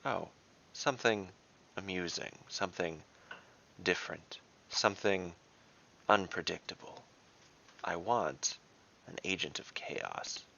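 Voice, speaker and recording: A man voicing a calm, mischievous female ice elf guardian, speaking in an unhurried, teasing way. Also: low-pitched, creaky, dull audio, exaggerated voice. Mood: amused anticipation.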